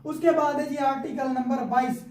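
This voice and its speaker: A woman's voice: high voice, steady tone, normal speed, darkish tone. A woman with a Pakistani accent giving an informal explanatory law lecture, teacherly, repeating her points and checking in often. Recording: echoey, roomy sound.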